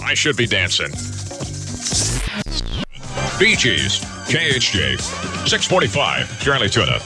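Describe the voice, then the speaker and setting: A man working as a morning radio DJ in a studio, reading time checks and weather and cracking quick jokes with chuckles. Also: mid-range voice, huge pitch swings, over music. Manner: joking, quick one-liners with chuckles. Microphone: studio broadcast mic.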